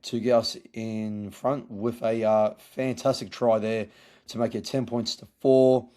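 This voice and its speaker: A man hosting a casual sports review podcast, his voice hoarse from yelling, halting with many fillers and restarts. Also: mid-range voice. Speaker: podcast host.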